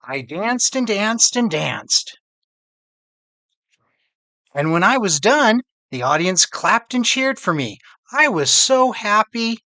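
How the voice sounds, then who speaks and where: A man plays a loving storybook mom sharing fond memories with her child. The phrasing is tender and drawn out, swinging widely in pitch, in a dry recording. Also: big pitch swings, slow pace, pauses often, bright, forceful tone, dry recording, full character voice. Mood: fond, joyful. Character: loving mother fondly recalling happy times to her child.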